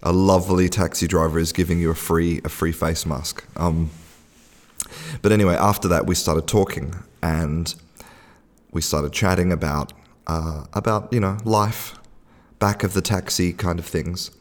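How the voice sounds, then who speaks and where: Deep-voiced man telling a chatty story. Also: fairly even intonation, unhurried, big-room sound. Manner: chatty storyteller, many restarts and fillers. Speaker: podcast host. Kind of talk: casual spoken podcast monologue.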